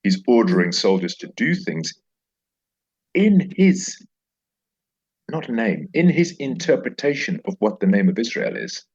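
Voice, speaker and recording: A man's voice: lowish voice, sing-song, a little slow, long pauses, darkish tone, slightly rough, muffled recording. A man speaking reflectively as an interview guest, emphatic and repeating phrases for effect. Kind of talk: reflective interview conversation with recited verse.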